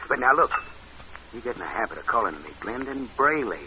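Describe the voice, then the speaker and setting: An actor playing a cold, scheming killer in an old radio thriller, on a noisy vintage recording. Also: male voice, mid-range voice, big pitch swings, a little fast, highs cut off, lightly acted. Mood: scheming, urgent. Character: cold, scheming male killer narrating his own impersonation plot. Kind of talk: 1940s-50s radio thriller acting.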